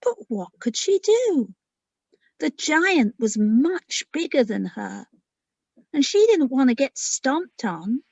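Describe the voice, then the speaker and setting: A woman with a fairly high voice, talking like a children's author at a read-aloud. Her pace is slow and informal. The sound is dry and somewhat lo-fi. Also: very animated, creaky, darkish tone, slightly rough, no room sound.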